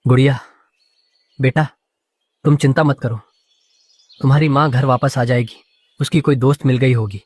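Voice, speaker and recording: A man voicing a gentle, caring father bird in a cartoon, with a reassuring manner. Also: mid-range voice, steady tone, moderate pace, pauses often, breathy edge, no echo, close to an everyday voice. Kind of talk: animated story dialogue.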